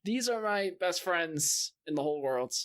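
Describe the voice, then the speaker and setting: A man's voice: sits high, animated, moderate pace, a bit bright, clear, resonant tone. A man hosting a podcast in a casual, informal chat style with some swearing. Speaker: podcast host.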